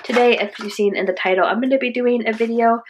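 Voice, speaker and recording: A woman's voice: fairly high pitch, fairly even intonation, quick pace. Woman with a stuffy cold voice. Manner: chatty, rambling, frequent restarts. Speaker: cleaning video host. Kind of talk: casual product test vlog. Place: home kitchen.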